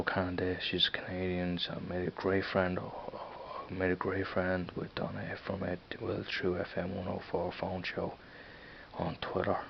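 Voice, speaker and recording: A man in his thirties with a Dublin accent, rambling casually and halting over his words in an off-camera vlog. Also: deep voice, vocal fry. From Ireland. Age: 30s. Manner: rambling, halting, many restarts. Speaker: vlogger. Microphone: camera mic, filming a screen. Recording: dull lo-fi sound with faint hiss.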